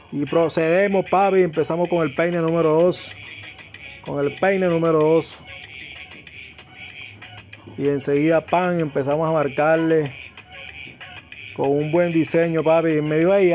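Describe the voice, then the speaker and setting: Man, 30s, barber, excited slangy hype talk, slightly high voice, small barbershop, soft music underneath. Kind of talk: casual haircut vlog narration.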